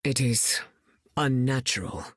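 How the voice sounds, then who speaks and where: An actress playing a regal, composed mutant heroine in a video game, with a stately, measured manner and dry wit. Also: female voice, a bass voice, breathy edge, no room sound, exaggerated voice. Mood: composed, grave. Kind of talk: video game character lines.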